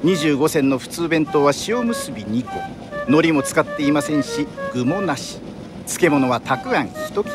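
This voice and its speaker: A chatty, courteous train conductor played by a male actor in a radio drama. He explains things briskly with lively intonation, with music clearly audible behind him. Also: fairly high pitch, natural voice. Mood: helpful.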